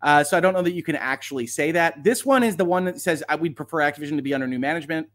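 Higher-voiced man giving analytical commentary. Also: fairly bright tone. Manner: analytical, measured hedging, lawyerly vocabulary. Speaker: host, legal commentator. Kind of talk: live off-the-cuff legal commentary, reading and reacting.

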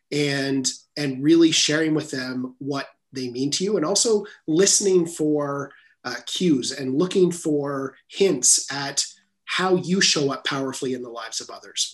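Earnest man in a measured interview. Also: on the high side. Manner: earnest, measured, repeats words while rephrasing. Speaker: guest author. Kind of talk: reflective interview talk.

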